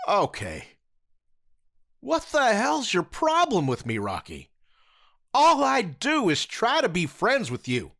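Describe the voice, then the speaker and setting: A woman playing an earnest adult male ex-soldier in a fan audio drama, talking forcefully with a lecturing edge. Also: wildly swooping pitch, slow, long pauses, heavily acted. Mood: defensive. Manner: forceful, lecturing, with wide swings in pitch. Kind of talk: amateur audio drama acting.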